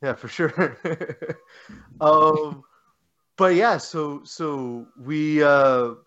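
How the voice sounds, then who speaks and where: A man's voice: a little high, steady tone, drawn-out words, a bit bright, smooth, lo-fi sound. Man chatting loosely about sports. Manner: rambling, frequent restarts and fillers. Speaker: sports podcast co-host. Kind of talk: casual sports chat.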